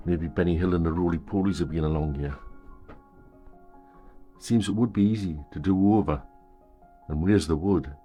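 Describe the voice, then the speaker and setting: A man's voice: deep voice, normal speed, pauses often, mellow, faint music behind. An older man chatting casually as a travel vlogger, walking a long pier outdoors and making wry asides. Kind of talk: casual travel vlog narration.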